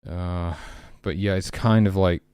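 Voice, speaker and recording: A man's voice: low voice, fairly even intonation, a little slow, a little mellow, smooth, dry recording. A man talking casually and hesitantly as he thinks aloud while working, like an indie developer on a stream. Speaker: indie game developer streaming his work.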